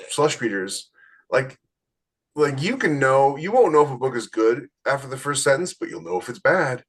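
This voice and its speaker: A man's voice: mid-range voice, fairly bright tone, breathy edge. A man co-hosting a podcast, talking in a casual, anecdotal style. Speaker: podcast co-host.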